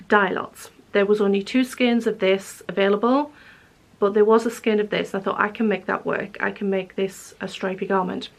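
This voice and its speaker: Woman, hoarse and croaky, casual rambling knitting vlog to camera. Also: medium pitch. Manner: chatty, rambling, self-correcting. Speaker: knitting vlogger. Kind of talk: casual chat showing yarns to camera.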